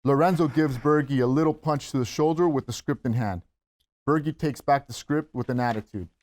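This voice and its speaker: Male narrator, mid-pitched, reading scene action for a script table read. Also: fairly quick, a little mellow. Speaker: stage-direction narrator.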